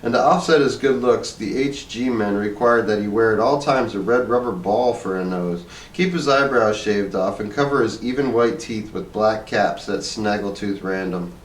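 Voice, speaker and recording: A man's voice: on the low side, normal speed, few pauses, a little hiss or hum. A man reading a short story aloud as scripted narration. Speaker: story reader. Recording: echoey room, distant microphone.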